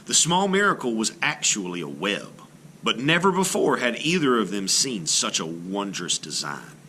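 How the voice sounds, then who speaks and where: A man reading a children's story aloud in an expressive, theatrical style, like a teacher to a class. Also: fairly low pitch, moderate pace, a little hiss or hum. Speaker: art teacher reading a picture book aloud.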